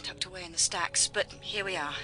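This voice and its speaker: An actress playing a helpful, gently teasing researcher in a radio drama, polite and slightly hesitant. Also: female voice, fairly low pitch, fairly quick, soft background music. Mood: friendly, helpful. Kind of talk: naturalistic radio drama acting.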